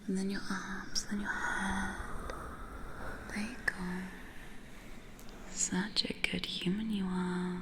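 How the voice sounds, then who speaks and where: Woman acting slow, whispering, possessive vampire woman. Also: fairly low pitch, noisy background. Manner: breathy whispering, slow, coaxing and domineering. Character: possessive, teasing female vampire hypnotist, predatory and coaxing. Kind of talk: ASMR roleplay. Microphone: close studio condenser microphone.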